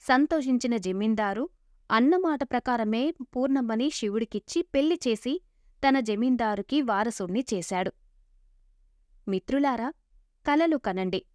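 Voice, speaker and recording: A woman's voice: on the high side, animated, measured pace, pauses often, a little mellow, dry recording, studio quality. A woman narrating a scripted moral story as a storyteller. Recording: dull lo-fi sound.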